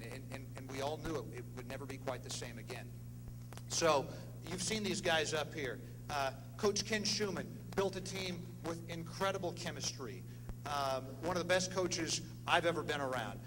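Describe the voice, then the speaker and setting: A middle-aged man giving an earnest, emphatic ceremony speech in short punchy phrases as a presenter. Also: fairly high pitch. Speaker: presenter at an athletics hall of fame ceremony. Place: indoor ceremony hall with long reverb. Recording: echoey hall, noisy hum.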